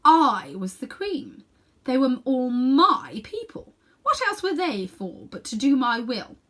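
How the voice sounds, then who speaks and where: A woman's voice: high-pitched, big pitch swings, slow, a bit bright, pitched above the performer's own voice, full character voice. A woman reading a storybook as an imperious, pompous evil witch queen who commands with grand contempt.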